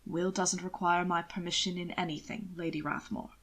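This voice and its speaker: Woman playing a dry, low-toned aristocratic gentleman. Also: level tone, moderate pace. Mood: cool disdain. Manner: droll, understated, formal. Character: dry, sardonic aristocratic gentleman, coolly polite. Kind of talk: audiobook character dialogue.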